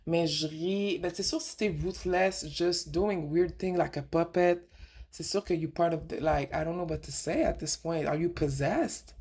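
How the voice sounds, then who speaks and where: A woman's voice: fairly low pitch, measured pace, a little mellow, husky. A woman with a Québécois accent hosting a casual radio monologue, rambling and frank, slipping into English mid-sentence and asking rhetorical questions. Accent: Québécois French. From Quebec. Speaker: radio show host.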